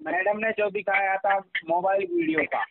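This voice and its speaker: Over a tinny telephone line with clear noise and clicks, a man speaks in a very high-pitched voice. He is insistent and repetitive, pressing his point in a casual argument. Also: expressive, moderate pace. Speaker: caller pressuring the other party. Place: recorded phone call.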